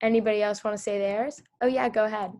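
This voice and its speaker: A woman hosting a read-aloud for children over a video call, reading the story and asking them gentle questions. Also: medium pitch, steady tone, fast talker. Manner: gentle, encouraging, with small restarts.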